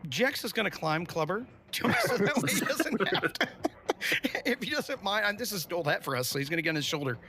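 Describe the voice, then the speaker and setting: A man's voice: sits high, very animated, moderate pace, crowd noise. A man chatting casually as a player in a tabletop roleplaying game.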